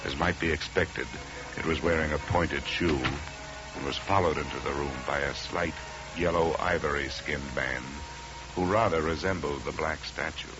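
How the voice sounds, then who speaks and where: An actor playing a tough, wry insurance investigator in a 1950s radio detective drama, sardonic and clipped. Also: male voice, a bass voice, steady tone, moderate pace. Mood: dry narrating. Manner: sardonic, clipped, world-weary. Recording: muffled old radio recording, music behind.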